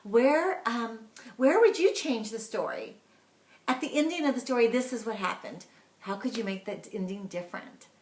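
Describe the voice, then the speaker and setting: A woman with a fairly high voice talks like a teacher explaining tips to parents. Her delivery is animated and emphatic, and the room around her adds a clear echo. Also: moderate pace, slight background noise. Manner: animated, emphatic, acts out example questions. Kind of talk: instructional talk to camera. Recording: echoey room sound.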